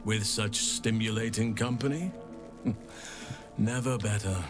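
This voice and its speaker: A man voicing a composed, cautious counsellor in a fantasy video game, speaking in a measured, formal way. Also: lowish voice, fairly even intonation, music in the background, close to an everyday voice. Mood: amused. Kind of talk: video game character acting.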